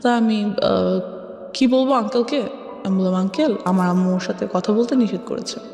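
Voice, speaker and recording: A woman's voice: medium pitch, normal speed, creaky, darkish tone. Echoey Bangladeshi woman telling her story. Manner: switches often between Bengali and English. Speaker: guest telling life story. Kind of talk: conversational personal storytelling. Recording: echoey long reverb, music bed. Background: background music.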